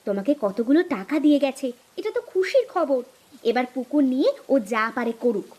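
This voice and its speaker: A woman's voice: high voice, expressive, moderate pace, darkish tone. A woman voicing a practical, reassuring village housewife in a cartoon, nudging her husband along. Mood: insistent. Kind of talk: Bengali cartoon voice acting.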